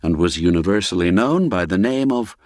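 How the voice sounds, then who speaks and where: A man narrating a literary tale in a theatrical, expressive and unhurried storytelling manner. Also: deep voice, no echo, studio quality.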